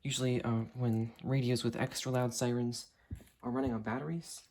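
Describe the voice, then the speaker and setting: A young man in his late teens giving a casual, unscripted product demo in a halting, understated way. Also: mid-range voice, flat delivery, darkish tone, a little hiss or hum. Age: late teens, young adult. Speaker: hobbyist reviewer. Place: indoor room at a desk.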